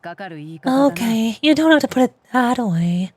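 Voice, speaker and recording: A woman's voice: a little high, animated, takes it slowly, a little mellow, no room sound, soft background music. A woman voicing a firm, blunt and protective older sister from a game. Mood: cool.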